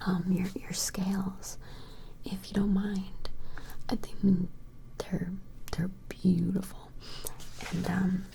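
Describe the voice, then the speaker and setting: A woman doing an ASMR personal-attention role play in a gentle, hesitant, breathy whisper, very close to the microphone. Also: mid-range voice, slight background noise, rough recording. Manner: gentle, hesitant, murmured admiring asides. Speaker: ASMR performer.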